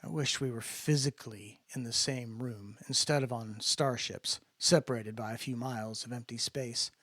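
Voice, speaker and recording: A man's voice: mid-range voice, fairly even intonation, normal speed, a bit bright, dry recording, clean studio sound. A man talking and reading fiction aloud in a dramatic storytelling style. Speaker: narrator reading own book aloud. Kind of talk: casual introduction, then dramatic audiobook-style reading.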